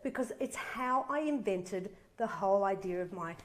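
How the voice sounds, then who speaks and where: A woman's voice: mid-range voice, animated, normal speed. A middle-aged woman hosting a live cooking demonstration from her kitchen, chatting bubbly and enthusiastic, a bit nasal from a head cold. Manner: bubbly and enthusiastic, reading out viewer comments. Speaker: cooking show host. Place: home kitchen.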